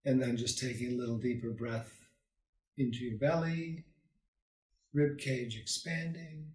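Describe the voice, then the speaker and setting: A man teaches a guided meditation at a very unhurried pace. He speaks calmly and soothingly in short phrases with long pauses, at a medium pitch. The sound is echoey, as if the microphone sits some way off. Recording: roomy echo, distant microphone.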